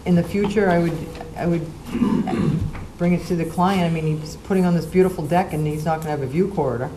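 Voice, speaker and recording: A woman's voice: on the low side, fairly quick. A woman on a town board asking casual, probing questions during a hearing. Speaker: board member. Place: town hall meeting room. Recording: echoey, noisy room.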